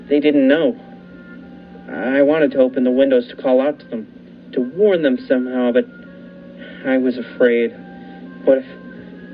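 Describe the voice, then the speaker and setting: A man playing a haunted lighthouse keeper who narrates a radio thriller with dramatic, deliberate pauses. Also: medium pitch, lightly acted. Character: haunted lighthouse keeper recounting his ordeal. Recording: muffled lo-fi recording with faint noise.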